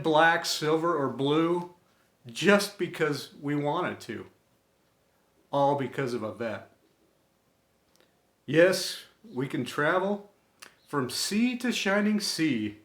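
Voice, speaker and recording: A man with a slightly raised pitch reads an inspirational tribute very slowly and earnestly. He sets out each short phrase deliberately, with pauses between them. The room around his voice is clearly echoey. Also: fairly bright tone. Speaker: storyteller and host of an inspirational series. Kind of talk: scripted inspirational tribute reading.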